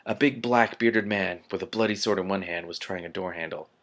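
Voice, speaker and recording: A man's voice: low voice, fairly quick, fairly bright tone. Man reading fiction aloud expressively. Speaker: reader and commentator.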